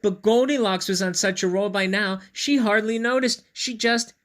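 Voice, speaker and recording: A man reading a picture book aloud to children, playful and knowing, with chatty asides and dramatic pauses. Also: high-pitched, fairly bright tone. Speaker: storyteller, school read-aloud host.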